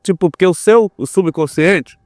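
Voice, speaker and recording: A man's voice: sits high, moderate pace, darkish tone, dry recording, clean studio sound. A Brazilian man speaking as a podcast guest, telling his personal story in an earnest, reflective way and repeating himself for emphasis. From Brazil, small town.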